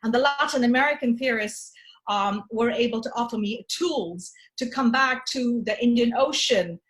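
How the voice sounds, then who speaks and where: A woman's voice: a little high, moderate pace, a bit bright, low-quality recording. A woman from Tanzania presenting her book at an academic forum, thoughtful and searching, with frequent restarts and fillers. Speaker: author and event host. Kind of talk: academic talk introducing a book.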